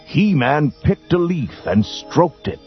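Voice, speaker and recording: A man's voice: on the high side, big pitch swings, slow pace, lo-fi sound. A man giving dramatic scripted storybook narration. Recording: band-limited old readalong tape with music.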